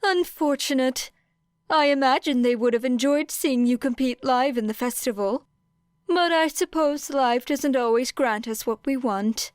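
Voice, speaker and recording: Lady doing a chirpy polite animal headmaster for an audiobook, high and clear, shrewd and upbeat. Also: a little slow, no room sound, clean studio sound. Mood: polite, probing. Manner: polite, crisp, upbeat formal phrasing. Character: chirpy, courteous, shrewd animal school principal. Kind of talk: acted audiobook dialogue.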